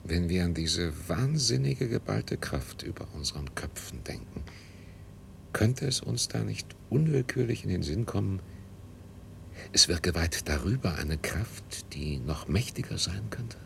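A man playing a solemn, high-minded scientist in a 1970s radio play, speaking in a pompous, declamatory way. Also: expressive, measured pace, vocal fry, full character voice. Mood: solemn, lofty. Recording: 1970s radio recording with low rumble.

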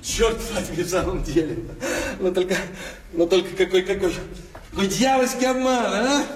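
An actor playing a conceited card swindler outraged at being outwitted, in theatrical stage comedy style with stammering repetitions and exclamations. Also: male voice, sits high, normal speed, slight background noise, natural voice. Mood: despairing rage. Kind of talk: classic Russian stage comedy acting. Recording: music behind the voice. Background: music.

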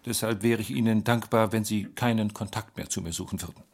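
An actor playing a proud, aloof government gentleman in a radio drama, speaking formally and precisely. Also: fairly low pitch, steady tone, brisk, creaky. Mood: guarded, aloof.

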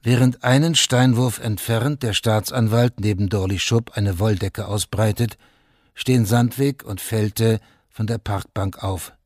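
An actor as the sober, detached narrator of a radio crime play, reading scripted prose. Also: male voice, fairly low pitch, husky, dry recording, studio quality.

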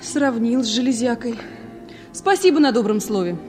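A woman performs a teasing young village woman in a Soviet radio play. Her high voice is saucy and sharp-tongued, with faint music behind. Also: lively intonation, normal speed, natural voice. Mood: mocking. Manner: saucy, mocking.

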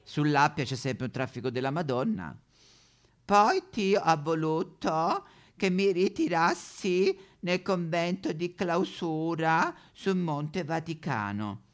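A man's voice: a little high, pitched above the performer's own voice. A man playing an elderly retired German pope in a satirical stage monologue, with a German accent, mock-solemn sing-song preaching and petulant complaints. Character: elderly retired German pope, whiny, pious but foul-mouthed.

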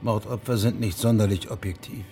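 An actor playing a dogged, formal middle-aged judge in a German radio drama, measured and unyielding. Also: male voice, on the low side, fairly even intonation, darkish tone, faint music behind, close to an everyday voice. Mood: firm, measured.

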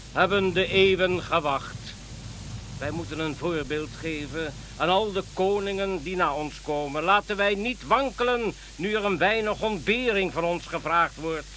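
A man's voice: sits high, a bit bright, poor audio. A man playing a grave, devout king in a radio play, speaking formally like a preacher. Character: solemn, devout king following a star. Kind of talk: Dutch radio play acting. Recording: faint background hiss.

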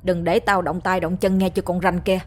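A woman voicing a rough, threatening grown son. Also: fairly low pitch, a little fast, fairly bright tone.

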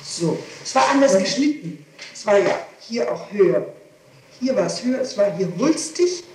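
A woman is being questioned, and she answers in an explanatory, insistent way, often repeating herself. Her voice has a medium pitch and sits far from the microphone in a very echoey room. It is an old tape with some hiss. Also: lively intonation, moderate pace. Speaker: person being questioned by a prosecutor. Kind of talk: answering questions in a formal interrogation. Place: reverberant room, interrogation recorded on tape. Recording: echoey archival tape, distant mic, hiss.

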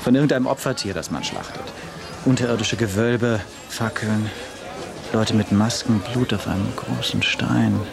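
An actor in a radio drama playing a chatty florist on holiday, flippant and given to mock-poetic phrases. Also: male voice, fairly low pitch, normal speed, creaky, over music. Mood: uneasy chatter. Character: chatty, teasing, squeamish male florist on holiday.

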